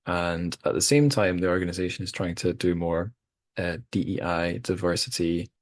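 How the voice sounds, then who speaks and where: A man's voice: low-pitched, moderate pace, no room sound, studio quality. A man hosting a podcast, chatting casually in a rambling, self-deprecating way with many restarts. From Scotland. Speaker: podcast host. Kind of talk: casual chat.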